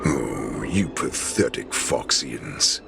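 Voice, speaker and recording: An actor playing an ancient, imperious wolf-beast warlord in a video game, menacing, contemptuous and slow, with a very deep gravelly voice. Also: male voice, expressive, loud music behind the voice, exaggerated voice. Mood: scornful. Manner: slow, haughty, theatrical.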